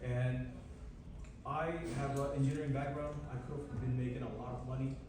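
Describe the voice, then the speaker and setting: A man's voice: mid-range voice, flat delivery, fairly quick, noisy background. Earnest man speaking in a big hall. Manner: earnest and emphatic, clarifies points. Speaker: guest speaker. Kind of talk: speech to a community gathering. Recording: echoey hall from far off, loud chatter.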